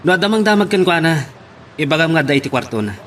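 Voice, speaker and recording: A man's voice: on the high side, lively intonation, fast talker, a bit bright, slight background noise. A man playing a stern young man giving strict orders in an Ilocano radio drama. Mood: instructing. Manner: commanding, strict.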